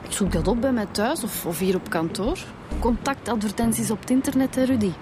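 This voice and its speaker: An actress playing a cheeky, resourceful police detective with a Flemish accent in a radio drama, colloquial and teasing. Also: female voice, fairly high pitch, brisk, noisy background, lightly acted.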